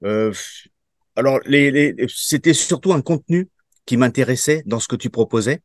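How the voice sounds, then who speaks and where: A man in his fifties, from Portugal, giving a testimonial in an interview and answering haltingly with many fillers and restarts. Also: medium pitch, no room sound, studio quality. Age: 50s. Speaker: program participant giving a testimonial.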